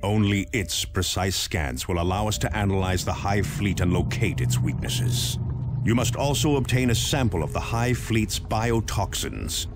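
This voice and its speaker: An actor playing a grave veteran space marine officer in a video game, giving a solemn, deliberate and commanding mission briefing. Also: male voice, fairly low pitch, animated. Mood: firm, commanding. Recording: music behind the voice. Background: background music.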